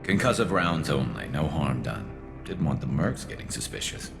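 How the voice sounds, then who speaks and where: A man playing a weary, wry alien vigilante sniper in a video game, speaking in a dry, laconic, gravelly way. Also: sing-song, normal speed, heavily acted. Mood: dry, matter-of-fact. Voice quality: gravelly, low. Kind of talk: video game cutscene acting. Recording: music behind the voice.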